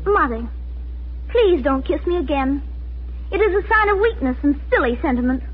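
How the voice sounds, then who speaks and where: A woman's voice: sits high, lively intonation, normal speed. A woman playing an earnest, impressionable eleven-year-old girl in a 1940s radio drama, with a childlike, emotional delivery. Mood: earnest doubt. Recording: scratchy, tinny 1940s radio recording.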